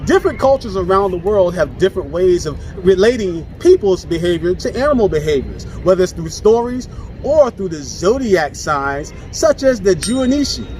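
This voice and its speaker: A man's voice: sits high, big pitch swings, normal speed, a little mellow, a little hiss or hum. A man hosting as a children's storyteller, with a theatrical, sing-song delivery. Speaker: host and storyteller. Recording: music behind the voice.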